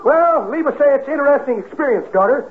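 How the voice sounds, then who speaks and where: A man's voice: lively intonation, fast talker. An actor playing a cranky, joking old man working as a store Santa in 1950s radio comedy, with a folksy, rustic delivery. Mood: wry, folksy. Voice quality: high-pitched old-timer voice. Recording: muffled 1950s radio recording, faint noise.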